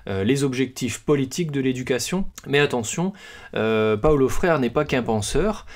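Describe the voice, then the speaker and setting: A man hosting a podcast, talking through a book's ideas in a loose, unscripted way, with frequent pauses and restarts. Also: medium pitch, lively intonation. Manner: hesitant, many fillers and restarts; self-deprecating humor. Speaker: podcast host presenting a book. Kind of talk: casual spoken book presentation.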